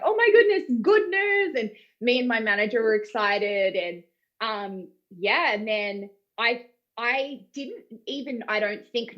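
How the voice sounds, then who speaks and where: A woman's voice: mid-range voice, a bit bright, dull audio. An Australian woman, a voice actor, talking in a casual, informal, rambling way. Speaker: voice actor being interviewed. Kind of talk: casual chat.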